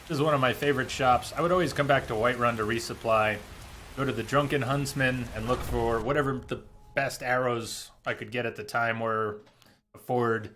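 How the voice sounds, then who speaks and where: A man's voice: mid-range voice, fairly even intonation, brisk, a bit bright, a little hiss or hum. A man streaming a video game, thinking aloud in casual, musing commentary. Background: game music and rain.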